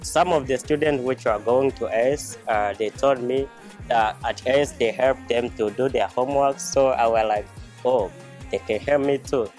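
A man's voice: mid-range voice, unhurried, darkish tone, soft background music. Young man with easygoing speech. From Uganda. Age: young adult. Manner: plain, with "uh" and "like". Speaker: high school graduate. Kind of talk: casual testimonial. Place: outdoors by a house wall.

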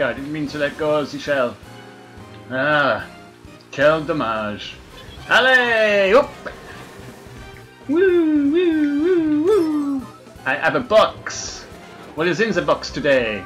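A man doing comic gameplay commentary in a fake French accent, excitable and hammy, laughing at his own jokes. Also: high voice. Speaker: let's play gamer.

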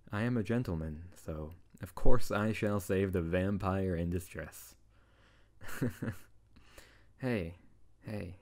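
A low-pitched tsundere wizard, acted by a man, in an intimate ASMR audio drama. He teases his beloved and comforts them softly, with an affectionate, slightly hesitant manner. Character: tsundere male wizard, teasing, tender best friend turned lover.

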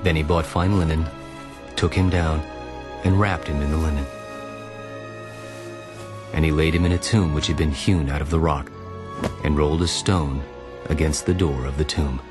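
Very deep-voiced male scripture narrator. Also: steady tone, moderate pace, pauses often. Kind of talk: solemn dramatized scripture narration. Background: music.

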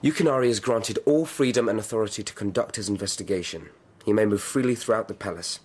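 An actor playing a formal, commanding Emperor of Japan in a radio drama, stately and authoritative. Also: male voice, on the low side, fast talker, a little mellow, slightly rough.

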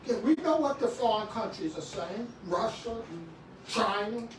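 A man's voice: sits high, expressive, moderate pace, a little hiss or hum. Man preaching, middle-aged, passionate, very echoey room, distant mic. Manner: impassioned, swings between shouting and quiet talk, many restarts. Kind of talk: sermon. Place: small church room. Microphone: far camera. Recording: echoey room, far from the camera.

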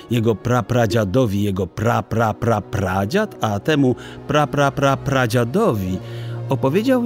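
A man's voice: medium pitch, darkish tone. A man narrating a children's fantasy audiobook in a solemn, dramatic, literary storytelling manner.

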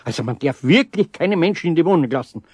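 An actor playing a kindly older carpenter with a Bavarian accent, grumbling good-naturedly. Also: male voice, on the high side, animated, quick pace, darkish tone, husky, no room sound. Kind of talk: 1970s radio play acting.

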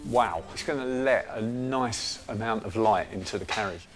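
A middle-aged father helping with a build, speaking calmly and with encouragement. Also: lowish voice, moderate pace. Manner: calm, encouraging. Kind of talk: casual conversation. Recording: music bed behind.

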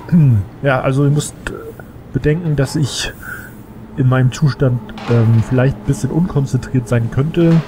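A man who has a cold, chatting casually and thinking aloud as he plays a game. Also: mid-range voice. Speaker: let's play gamer. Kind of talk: casual gameplay commentary.

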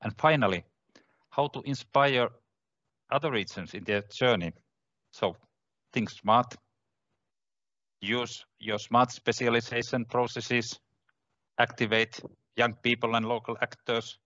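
A man in his sixties with a Finnish accent, giving halting, measured prepared remarks from a home office over a headset on a video call. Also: medium pitch, fairly even intonation, sharp tone. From Finland. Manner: measured, halting non-native English. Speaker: regional politician. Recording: muffled video call audio.

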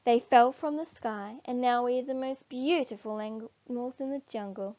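A vain, excitable warthog from a picture book, acted out by a woman. The voice is high and exclamatory, drawing out key words. The recording is a little dull. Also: normal speed, natural voice. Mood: smug. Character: boastful, taunting warthogs, later dismayed. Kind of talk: read-aloud children's storybook.

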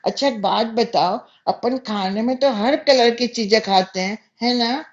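A man's voice: high voice, unhurried, dull audio, voice pushed up. Man putting on a cheeky, devilish little prankster boy. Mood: sly, teasing. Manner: mock-polite, taunting, insolent. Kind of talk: comedy sketch phone prank.

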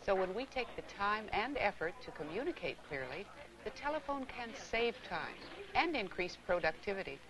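A middle-aged actress playing a courteous, instructive receptionist in a scripted 1980s training film. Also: female voice, mid-range voice, sing-song, moderate pace, lightly acted, rough recording. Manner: polite, clearly instructive. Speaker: receptionist and narrator. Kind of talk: scripted training-film narration and acted dialogue. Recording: 1980s film soundtrack, faint music.

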